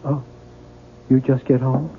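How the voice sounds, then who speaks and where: An actor playing a tormented, stubborn novelist in a 1970s radio drama, husky-voiced, intense and halting. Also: male voice, medium pitch, little pitch movement, a little hiss or hum, natural voice. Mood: terse. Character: tormented, obsessive male novelist, stubborn and brooding. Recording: muffled, narrow-band vintage radio recording.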